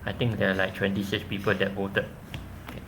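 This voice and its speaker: A man answering questions after his own tech presentation over a video call, explaining haltingly with frequent restarts. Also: deep voice, completely flat delivery, noisy background. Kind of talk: casual tech talk Q&A.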